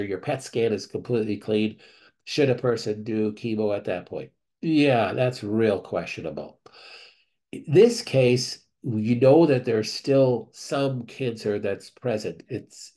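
Male clinic doctor, lowish voice, measured Q&A answers over a video call. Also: a little mellow. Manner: measured, thinking aloud with fillers and restarts. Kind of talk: reading questions aloud and answering them. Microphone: screen-share video call.

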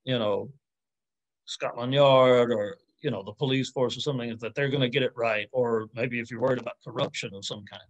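A man in his forties speaking as an academic answering audience questions informally, thoughtful and halting, often hedging and rephrasing on a video call. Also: medium pitch, fairly even intonation, low-quality recording. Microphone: computer mic on a video call.

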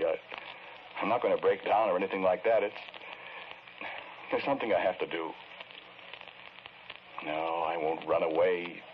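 A man plays a softly haunted murderer in an old radio play. His voice is low, courteous and hesitant, pleading in halting phrases. The muffled vintage recording is clearly noisy. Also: close to an everyday voice. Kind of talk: 1940s radio drama acting.

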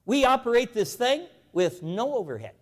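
Seventies guy, folksy and emphatic, speaking in a big reverby hall, fairly high voice. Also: normal speed. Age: 70s. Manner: animated, folksy, emphatic repetition. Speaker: convention speaker. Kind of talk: lively storytelling speech to a church audience. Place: large convention hall.

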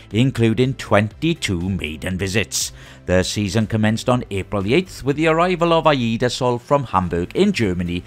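A man presenting a scripted news roundup in a lively, sing-song delivery with rising greetings. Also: medium pitch.

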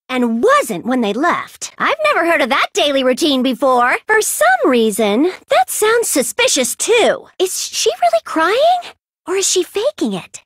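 An excitable teenage girl fighter from an anime game. Her voice is piercingly high and very bright, with a forceful edge. She is melodramatic and exclamatory, captured dry and close on a studio mic. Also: dry recording, heavily acted. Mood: suspicious, then agitated, exclaiming. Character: excitable, dramatic, hot-blooded teenage girl martial artist. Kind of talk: exaggerated anime video game voice acting.

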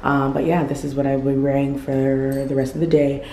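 Woman in her 40s, low husky-from-overuse voice, chatty fashion vlogger, roomy echo. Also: level tone, normal speed, dark tone, slight background noise. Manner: chatty, loose, many ums. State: losing her voice. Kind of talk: casual outfit vlog. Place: hallway of a home. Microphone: camera held in mirror selfie. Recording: echoey hallway, faint ambience.

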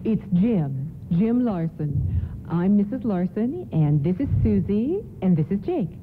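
A woman's voice: medium pitch, big pitch swings, measured pace. An actress playing a polite, friendly mother of young children in a radio play. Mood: friendly, concerned. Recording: thin phone-like band, noisy radio broadcast.

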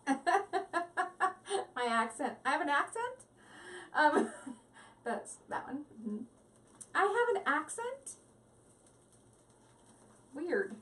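A woman's voice: high-pitched, very animated, very slow, long pauses, a bit bright, recorded from a distance. A woman hosting a live crafting stream, chatting with viewers in a rambling, giggly way at her craft table at home. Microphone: device mic set back from the table.

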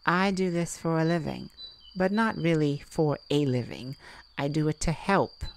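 An unhurried, slightly low-voiced mystic, acted by a woman, calm and enigmatic in an intimate audio roleplay. The delivery is soothing, with hesitant pauses as if peering into someone's mind. Faint birds and crickets sound behind the voice. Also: slight background noise. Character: calm, enigmatic mystic who peers into minds. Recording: nature ambience with birds and crickets.

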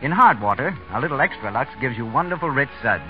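A man's voice: medium pitch, lively intonation, fast talker. A man with an American accent announcing and selling on a 1930s radio show. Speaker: commercial announcer. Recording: dull 1930s radio recording, faint music.

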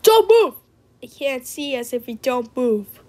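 High-pitched, very animated female amateur voice actor. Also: very noisy. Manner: theatrical, with gasps and drawn-out exclamations. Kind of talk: homemade character voice lines, animated movie remake.

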